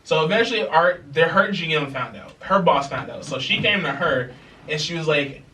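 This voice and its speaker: Bright young man telling a story in an echoey room. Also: on the high side, normal speed, slight background noise. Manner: animated, laughing, acts out other people's lines in quotes. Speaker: podcast co-host. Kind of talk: casual storytelling chat. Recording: echoey room, mic a bit far.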